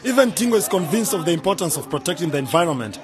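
A man's voice: high voice, animated, rapid-fire. An actor playing a hopeful, proud young village man in a radio drama. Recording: music and party crowd behind.

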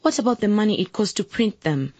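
An actress playing a determined, idealistic young political candidate in a radio drama. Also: female voice, medium pitch, lively intonation, brisk, a little mellow, husky, no room sound. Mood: indignant. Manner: earnest, assertive, formally polite. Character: determined, idealistic young woman running for civic office.

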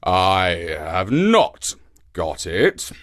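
A man voicing a fierce, hungry male lion who speaks in a low, slow growl. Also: huge pitch swings, fairly bright tone, dry recording, studio quality, heavily acted. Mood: grumbling.

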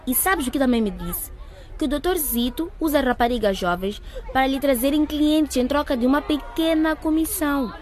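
High-voiced, resolute schoolgirl. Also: a little mellow. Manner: firm, emotional. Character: resolute teenage schoolgirl, upset and accusing. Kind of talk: radio drama acting.

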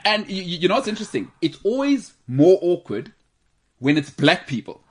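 A man hosting a casual sports talk show in a studio, animated and jokey, rambling with restarts. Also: sits high, moderate pace, husky. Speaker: radio show host. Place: radio studio. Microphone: studio microphone.

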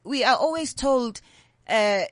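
A woman's voice: fairly high pitch, takes it slowly, no room sound, clean studio sound. South African woman telling candid stories. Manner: candid, animated storytelling mixing English with Zulu. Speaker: comedian guest. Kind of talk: interview conversation.

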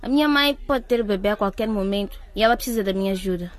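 A woman's voice: mid-range voice, quick pace, natural voice. An actress playing a practical, impatient teenage schoolgirl who scorns romance, in a radio drama.

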